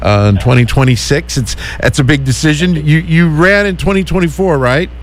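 A man hosting a community radio call-in show, talking in a relaxed, hesitant way with many fillers. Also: a little high, normal speed, slight background noise. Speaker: radio host.

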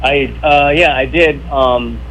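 A man in his sixties calling in as a council candidate, telling his story in an easygoing, halting ramble. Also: mid-range voice, steady tone, noisy background. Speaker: council candidate, phone guest. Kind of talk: phone-in interview. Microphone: phone line.

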